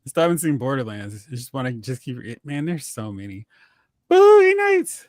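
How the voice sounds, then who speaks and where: A man hosting a casual, joking movie talk show with an animated delivery. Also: medium pitch, normal speed, no room sound, studio quality. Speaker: podcast host.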